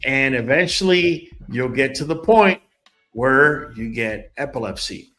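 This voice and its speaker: A man's voice: medium pitch, animated, measured pace, fairly bright tone, soft background music. A man hosting a casual livestream, talking straight to his viewers in a chatty way and often repeating words. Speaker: livestream host.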